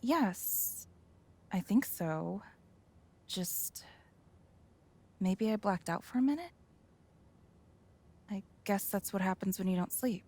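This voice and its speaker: A woman's voice: mid-range voice, lively intonation, takes it slowly, pauses often, a little mellow. An actress playing a grieving, bitter young woman, raw and wounded, in a video game. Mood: dazed, apologetic.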